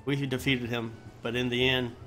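A man doing a live let's play, reading quest dialogue aloud haltingly and often restarting his phrases. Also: medium pitch, flat delivery, bright, forceful tone, low-quality recording. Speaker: game streamer. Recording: music behind the voice. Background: game music.

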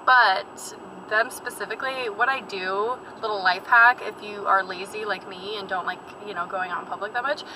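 Chatty young woman vlogging from her car. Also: mid-range voice. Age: young adult. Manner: chatty and rambling, many fillers and asides. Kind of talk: casual vlog chat while driving. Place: inside a parked and moving car. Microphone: camera on the dashboard. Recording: car interior with road and engine noise.

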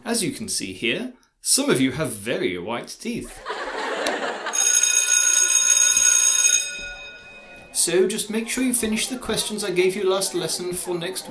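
A man playing a formal, guarded college teacher in an audio drama, choosing measured words. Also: high-pitched. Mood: instructive. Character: male college science teacher, defensive, formal, secretive adulterer. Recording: music behind the voice.

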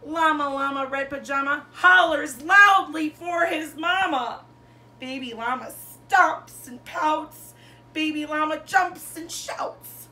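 Bright-voiced woman reading a bedtime storybook. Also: high-pitched, slow pace. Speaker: teacher reading bedtime stories to pupils. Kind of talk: animated children's storybook read-aloud. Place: bedroom, indoors. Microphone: camera a couple of metres away.

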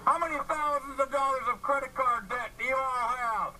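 A man loudly mocking and insulting people through a megaphone outdoors. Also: extremely high pitch, normal speed. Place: outdoors outside stores. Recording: noisy outdoor amateur video, megaphone.

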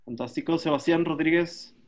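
Man hosting a video call roll call. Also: a little high. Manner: brisk, calling names and thanking each. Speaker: session host. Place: small home room, indoors. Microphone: laptop webcam on video call.

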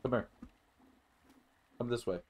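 A man giving casual, halting gameplay commentary as host, with some offhand swearing. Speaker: gaming video host.

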